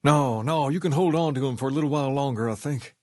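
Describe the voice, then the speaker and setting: Male actor as smooth video game gang leader, drawling swagger, folksy and cool, mid-range pitch, close dry sound. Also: fast talker, no echo, close to an everyday voice. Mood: grateful, easygoing. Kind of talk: video game dialogue acting.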